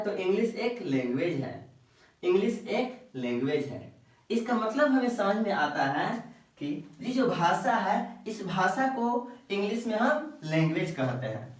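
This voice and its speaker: A male teacher explaining a lesson, repeating each sentence and asking rhetorical questions, often checking that the listener is following. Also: sits high. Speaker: English teacher. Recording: very echoey room, voice far from the mic.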